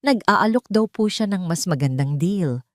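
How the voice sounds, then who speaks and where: A woman's voice: on the low side, lively intonation, measured pace, dry recording, studio quality. A woman voicing a nervous female executive assistant in an audio drama, respectful and hesitant. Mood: nervous, deferential.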